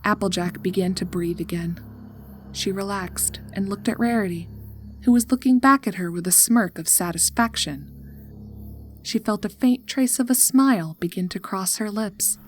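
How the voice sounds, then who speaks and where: A woman narrating a horror story in a deliberate, suspenseful, dramatic style. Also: mid-range voice. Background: background music.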